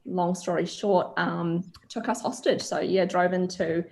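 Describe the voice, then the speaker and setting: A woman's voice: on the low side, steady tone, measured pace, creaky, darkish tone. Kiwi accent young woman, coach guest on a zoom call, rambly storyteller. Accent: New Zealand. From New Zealand. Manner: chatty storytelling, frequent ums. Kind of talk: casual video call interview. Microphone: video call.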